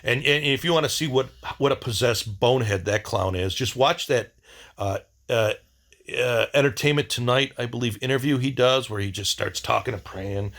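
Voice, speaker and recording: A man hosting a casual video diary, rambling in a blunt, wry way and often halting to search for words. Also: mid-range voice, vocal fry, fairly bright tone.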